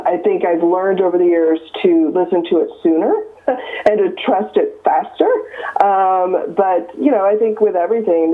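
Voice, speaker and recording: A Midwestern American woman in her fifties, an author being interviewed, talking earnestly with many ums and restarts. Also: on the low side. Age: 50s. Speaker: author guest. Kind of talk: casual interview over the phone. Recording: tinny phone line.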